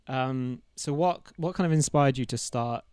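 Low-key guy asking questions, smooth clear voice, dry close sound. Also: normal speed, darkish tone, dry recording. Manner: reserved, easygoing. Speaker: interviewer, fellow YouTuber. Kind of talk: casual interview chat.

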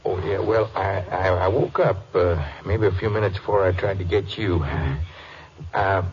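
An actor playing a nervous, insistent, resentful rural husband in a 1950s radio drama, with a Southern American accent and halting folksy speech. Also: male voice, low-pitched. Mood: nervous, explaining. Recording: muffled, slightly noisy old radio recording.

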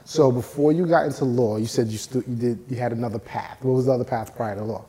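A man hosting his podcast, a realtor by trade, who chats in an upbeat way and keeps up a steady stream of encouraging replies. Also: mid-range voice, quick pace, big-room sound. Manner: upbeat, chatty, eager affirmations.

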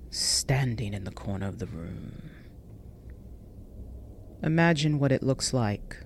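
A woman reads a scripted first-person scary story in a measured, dramatic way, drawing out some phrases. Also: deep voice, darkish tone, a little hiss or hum. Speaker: narrator reading a listener's scary true story.

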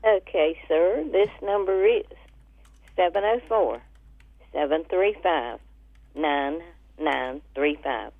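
A woman calling a radio show, casually listing things in a halting way over the phone. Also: deep voice. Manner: halting, pausing between items. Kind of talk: casual listing of items and numbers. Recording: narrow phone line on radio.

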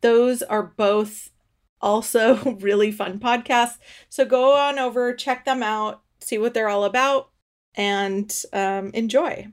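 A woman's voice: fairly high pitch, slow, smooth. A woman hosting a podcast, chatting easily and laughing often during an interview over a video call. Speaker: podcast host.

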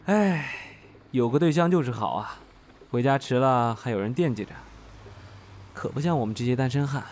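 A man's voice: mid-range voice, expressive, moderate pace, darkish tone, slight background noise, close to an everyday voice. A man playing a chatty, over-familiar young bachelor driver in an audio drama.

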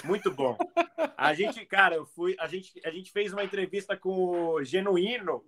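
A man with a notably high voice speaks as a guest in a casual interview. He tells anecdotes in an animated, conversational way, as a comedian would among peers. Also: moderate pace. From outside Brazil.

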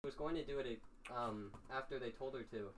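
A man's voice: mid-range voice, a little fast, distant mic, a little hiss or hum, low-quality recording. A young man rambling through a story with giggles on a casual podcast.

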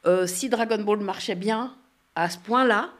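A middle-aged woman, a voice actress and guest, telling anecdotes in casual chat, lively and self-mocking, laughing often. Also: medium pitch, measured pace.

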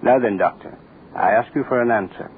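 A man's voice: fairly low pitch, brisk, lightly acted. A man playing an imperious, menacing state dignitary in an old radio drama, curt, commanding and pompous. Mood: imperious, demanding. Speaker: actor in a radio play. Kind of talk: 1940s radio horror acting. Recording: tinny old radio recording, faint noise.

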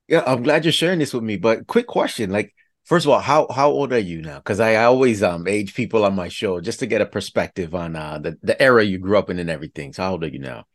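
A man's voice: on the low side, fast talker, no echo, clean studio sound. A man in his forties hosting an interview, laughing often and asking long rambling questions. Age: 40s. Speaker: host.

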